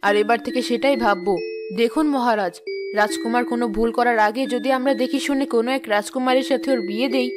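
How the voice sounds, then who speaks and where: High-voiced, concerned queen played by a woman. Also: fast talker, music in the background, close to an everyday voice. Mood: persuasive. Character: concerned, practical queen and mother. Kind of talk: animated fairy-tale dialogue.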